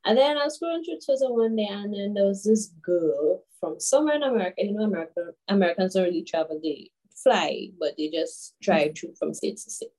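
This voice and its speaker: A woman in her twenties from Grenada, a guest telling stories in a casual interview, chatty and animated with many fillers. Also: medium pitch, fairly quick, poor audio. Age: 20s. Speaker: guest, children's author.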